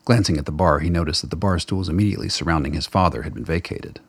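A man narrating a radio play in a measured, dramatic, literary storytelling style. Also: deep voice, husky, no echo.

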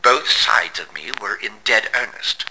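A man's voice: on the low side, animated, a little slow, bright, forceful tone, a little hiss or hum, full character voice, low-quality recording. A man as a tense, tormented Victorian scientist. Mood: solemn confession. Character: tormented, proud Victorian gentleman scientist confessing his downfall. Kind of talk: dramatic monologue in gothic audio drama.

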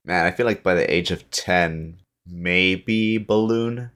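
A man with a low voice talks as a guest on a casual podcast. He tells things informally, like a story among friends, readily voicing the dialogue himself. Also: slow, a bit bright. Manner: informal, acts out quoted dialogue.

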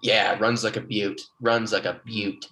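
A man's voice: lowish voice, flat delivery, normal speed, bright, forceful tone. A man playing an eager, easily flustered used-car salesman, emphatic and stammering, in an improvised comedy scene. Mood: eager pitching.

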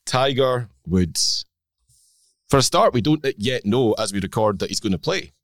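A man with a slightly croaky voice, co-hosting a golf chat and reeling off stats in a measured way. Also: mid-range voice, expressive, dry recording. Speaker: podcast co-host.

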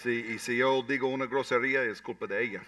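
Guy trying his at church, bright lively voice, a bit off-mic. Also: medium pitch, distant mic. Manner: halting, with many amens and restarts. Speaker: guest preacher.

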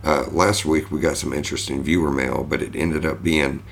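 Deep gravelly man, joking podcast host. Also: fairly even intonation, brisk, a little hiss or hum. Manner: joking, swears freely. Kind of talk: casual podcast chat.

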